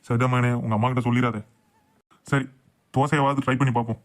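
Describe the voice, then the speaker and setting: A man's voice: medium pitch, flat delivery, a little fast, lightly acted. A man voicing a boastful, grumbling husband and father in a comic cartoon. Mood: flustered. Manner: blustering, complaining.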